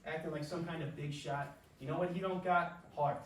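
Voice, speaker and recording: A man's voice: a little high, steady tone, a little fast, lightly acted. A man playing a proud, scrappy working-class father, blunt and colloquial, in a staged play reading. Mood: gruff, encouraging. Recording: roomy stage, distant, faint noise.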